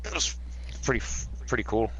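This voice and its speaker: A man's voice: medium pitch, sing-song, fairly quick, a bit bright, highs cut off, slight background noise. A man playing a tabletop game, with casual, profane quips. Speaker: player.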